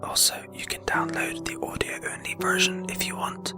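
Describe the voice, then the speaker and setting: A man's voice: moderate pace, loud music behind the voice. A man in his twenties from Norway, whispering a rambling, self-deprecating life story for an ASMR video. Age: 20s. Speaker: ASMR channel host.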